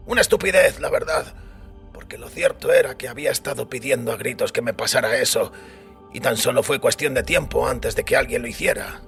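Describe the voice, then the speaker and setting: An actor playing a weary older priest who recounts a wild youth in a wry, reflective way, with a raspy voice. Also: male voice, mid-range voice, brisk, a bit bright. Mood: wry, reminiscing. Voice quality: raspy, gravelly. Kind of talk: dramatised audiobook acting. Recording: music bed under the voice.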